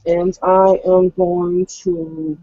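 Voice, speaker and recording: A man's voice: high voice, level tone, very slow, warm tone, no room sound. A man playing a tabletop game, talking casually and bluntly with plenty of swearing. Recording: dry, muffled lo-fi.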